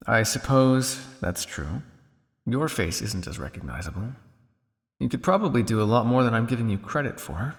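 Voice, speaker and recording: Man playing a sardonic vampire scientist, lively swooping delivery. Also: mid-range voice, normal speed, in a large hall, lightly acted. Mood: measured, planning. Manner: sardonic, condescending, with exasperated sighs and dry asides. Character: cynical, world-weary male vampire scientist on the run. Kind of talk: intimate ASMR roleplay monologue.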